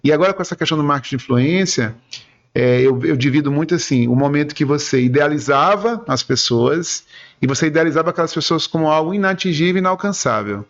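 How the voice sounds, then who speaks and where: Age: adult. Sex: man. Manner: earnest, explanatory. Pitch: somewhat high. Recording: dull. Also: lively intonation, quick pace. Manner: earnest, explanatory, rambling with restarts. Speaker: guest, advertising and entertainment businessman. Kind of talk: radio interview conversation.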